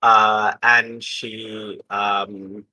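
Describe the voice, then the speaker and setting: A man telling an improvised story casually as a game player, haltingly piecing it together aloud. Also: lowish voice, flat delivery, bright, forceful tone, rough recording.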